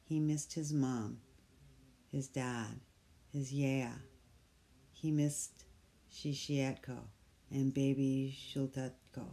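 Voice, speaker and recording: A calm reader takes a picture book at an unhurried pace. The tone is dark and mellow, gentle and soft-spoken, and now and then sinks into a hushed whisper. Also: mid-range voice. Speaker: storybook reader. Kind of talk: slow picture-book read-aloud.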